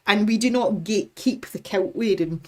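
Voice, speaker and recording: A Scottish woman chatting casually as a livestream host, opinionated and given to lively storytelling. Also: medium pitch, normal speed. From west coast of Scotland. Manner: chatty, opinionated, animated storytelling with acted-out quotes. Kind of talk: casual chat with live viewers.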